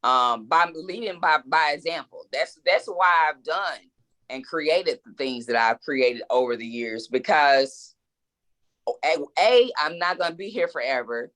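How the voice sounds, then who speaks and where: A woman's voice: low voice, lively intonation, a little slow, fairly bright tone, low-quality recording. A woman in her forties, a guest author in a casual interview, speaking reflectively and with emphasis, often repeating words as she thinks. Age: 40s.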